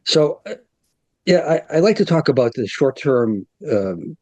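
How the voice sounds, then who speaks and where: A man speaking as a guest analyst, measured and plain-spoken with a wry edge. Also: on the high side, very animated, darkish tone, dry recording. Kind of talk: conversational analysis.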